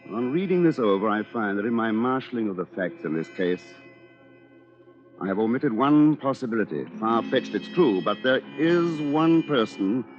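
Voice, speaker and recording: An actor playing a cold, conniving attorney with a formal, pompous, calculating manner in an old-time radio drama. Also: male voice, mid-range voice, lively intonation, normal speed, lightly acted. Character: cold, conniving male attorney, cleverly manipulative. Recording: muffled old radio recording, music behind.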